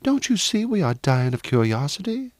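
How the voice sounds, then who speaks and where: A man's voice: a little high, very animated, moderate pace, no echo, studio quality. A man voicing a genteel, anxious and proud middle-aged mother in an audiobook novel. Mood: anxious, curious.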